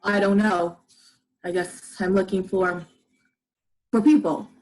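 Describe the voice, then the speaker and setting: A despondent wanderer after an alien invasion, played by a young woman in a radio play. The delivery is very slow and hesitant, with subdued questions at a middling pitch. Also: fairly even intonation, a little mellow, natural voice, poor audio. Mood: uneasy. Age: young adult. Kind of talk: amateur radio play acting. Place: living room. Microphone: headset on video call.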